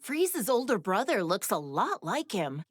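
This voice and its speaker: A woman's voice: medium pitch, big pitch swings, moderate pace, no echo, clean studio sound. An actress voicing a clever, sassy young woman scientist in an anime game, with a wry, chatty, slightly mischievous manner. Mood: sassy, teasing. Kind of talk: scripted game voice lines.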